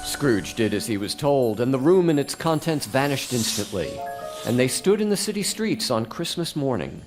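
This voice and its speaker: A man's voice: medium pitch, very animated, moderate pace. A man narrating a live radio drama as a scripted, dramatic storyteller. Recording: faint music behind.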